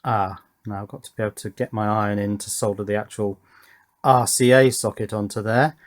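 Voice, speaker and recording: A man's voice: on the low side, little pitch movement, a little fast. A man giving casual, understated hands-on commentary as he works on a hobby electronics build, often thinking aloud and hesitating. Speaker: hobby electronics video maker.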